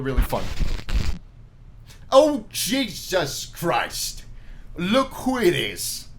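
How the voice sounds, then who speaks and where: A man playing a game on his channel, reading the story aloud as animated narration and dropping in casual, jokey asides. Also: on the high side. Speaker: gaming YouTuber reading a visual novel aloud. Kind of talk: let's play commentary mixed with dramatic read-aloud narration.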